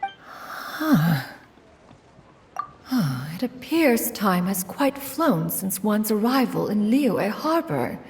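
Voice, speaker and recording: A woman's voice: medium pitch, big pitch swings, extremely slow, darkish tone, slight background noise, heavily acted. A woman playing a proud, aloof, eccentric elder immortal in a video game, speaking imperiously in archaic, formal phrasing. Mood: aloof, musing. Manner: imperious, archaic formal diction, calls herself "one".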